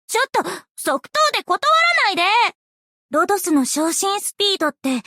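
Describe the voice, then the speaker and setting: A woman playing an earnest, bubbly, easily flustered young female idol in an anime game, with a cute, expressive, girlish delivery. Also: very high voice, dry recording, clean studio sound. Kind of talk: anime game character voice lines.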